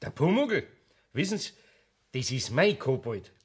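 An actor playing a good-natured, patient older carpenter with a Bavarian accent in a German children's radio play, folksy and conversational. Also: male voice, fairly high pitch, big pitch swings, measured pace, husky, exaggerated voice. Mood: earnest.

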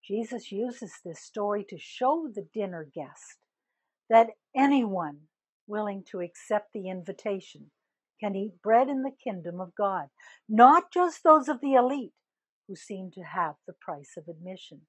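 An older woman minister giving a sermon, speaking slowly and deliberately and stressing key words. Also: mid-range voice, animated, slightly rough, no echo, low-quality recording. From Canada. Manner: slow, deliberate preaching with emphatic rises on key words.

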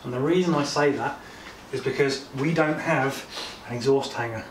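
A man's voice: mid-range voice, moderate pace. A man helping on a motorcycle build, talking through practical fixes in a plain, casual way. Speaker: co-builder in workshop. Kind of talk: casual workshop chat. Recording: echoey, distant, clear background noise.

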